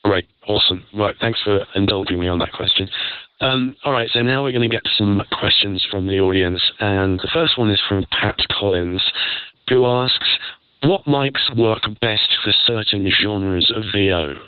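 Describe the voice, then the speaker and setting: A narrow, phone-like transmission carries a man with a slightly low, smooth voice. He hosts a casual panel in an easygoing manner. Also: moderate pace, no room sound. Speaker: host of audience Q&A panel. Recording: narrow muffled phone-like radio sound.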